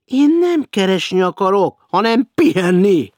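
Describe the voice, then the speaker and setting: Man voicing a loud, indignant idler. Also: a little high, no room sound, studio quality, voice pushed up, lightly acted. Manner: loud and protesting. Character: indignant lazy man refusing work.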